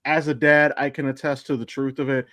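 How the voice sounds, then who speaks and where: A man's voice: mid-range voice, little pitch movement, brisk, a bit bright, dry recording, clean studio sound. A man hosting a podcast in casual, informal conversation, swearing freely. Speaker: podcast host. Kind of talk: casual chat.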